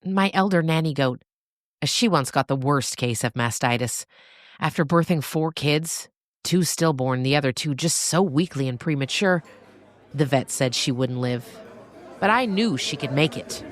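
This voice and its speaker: A woman's voice: low voice, unhurried. A woman voicing a frank, heartfelt farmer in an audio story. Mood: fond. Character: frank, earnest adult woman goat-and-pig farmer.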